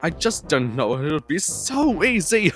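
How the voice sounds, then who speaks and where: A man voicing a gloating, scheming male school principal, with a smug, drawn-out villainous delivery and faint music behind. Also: on the high side, sing-song, fairly bright tone. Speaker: fan voice actor.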